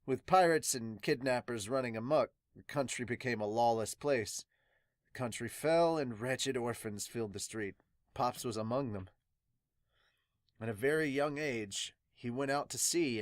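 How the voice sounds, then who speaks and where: A man playing a weary, solemn pirate doctor in a fan manga dub, subdued, measured and earnest. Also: mid-range voice, dry recording, clean studio sound, lightly acted. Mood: solemn, reflective. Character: weary, solemn veteran pirate doctor recounting his late captain.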